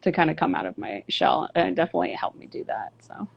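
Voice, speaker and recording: Woman, rambling candid guest, fry-heavy voice, muffled lo-fi remote audio. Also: low voice, very animated, fast talker. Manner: candid, rambling, many fillers and restarts. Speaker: band singer and bassist, guest. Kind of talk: casual interview chat. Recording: lo-fi dull audio.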